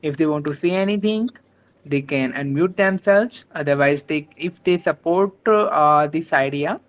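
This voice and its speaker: A man's voice: on the high side, measured pace, dull audio. A man whose first language is Hindi, speaking politely with many fillers during an online meeting. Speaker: meeting organizer. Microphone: video call.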